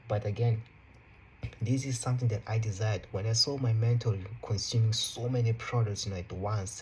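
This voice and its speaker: Young guy, portuguese first language, mellow lowish voice, slow easy talk with lots of "you know", faint hiss behind. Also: low-quality recording. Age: young adult. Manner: relaxed, unhurried, repeats phrases, fillers like "you know" and "right". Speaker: vlogger. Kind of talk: casual motivational talk to camera. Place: his room.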